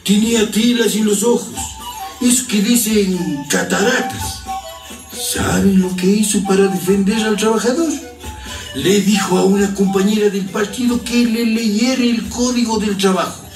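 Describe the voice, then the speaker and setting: High-voiced male storyteller. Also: steady tone, measured pace. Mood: reflective. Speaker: narrator. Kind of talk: radio drama narration. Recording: echoey playback, music behind.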